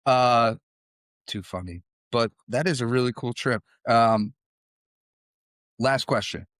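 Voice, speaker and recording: A man's voice: medium pitch, slow pace, a bit bright, no echo. Man hosting a podcast, dry close sound. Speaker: podcast host. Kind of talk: conversational interview.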